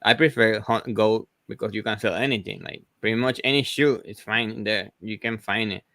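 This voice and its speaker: Man, halting and dry. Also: on the low side, bright, forceful tone, no room sound. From Venezuela. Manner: hesitant, many restarts and "like" fillers. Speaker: host of a reselling livestream. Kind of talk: casual livestream chat.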